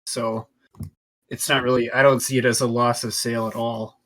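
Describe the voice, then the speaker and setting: A man's voice: medium pitch, level tone, moderate pace, fairly bright tone. Agreeable man chatting on a podcast. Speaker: podcast co-host. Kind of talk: casual discussion.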